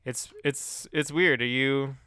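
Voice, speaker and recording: A man's voice: medium pitch, fairly even intonation, takes it slowly, pushed and bright, clear, resonant tone, no echo, studio quality. A man co-hosting a podcast in casual chat, talking in a hesitant, rambling way full of hedges. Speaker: podcast co-host.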